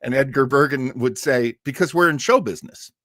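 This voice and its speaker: A man's voice: medium pitch, fairly quick, bright, forceful tone, no echo, clean studio sound. A man hosting a casual show about old-time radio, chatting in a rambling, jokey way. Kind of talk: casual livestream chat reading viewer comments.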